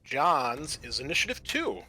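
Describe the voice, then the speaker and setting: A man with a bright, forward voice narrates a tabletop roleplaying game as game master. His delivery is informal and conversational, feeling out the wording as he goes. Music plays faintly behind him. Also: on the high side, sing-song, unhurried.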